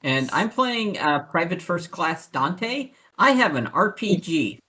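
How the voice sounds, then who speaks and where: A woman's voice: fairly low pitch, very animated, slow, bright, forceful tone. A woman chatting casually and self-deprecatingly as she introduces herself as a tabletop game player.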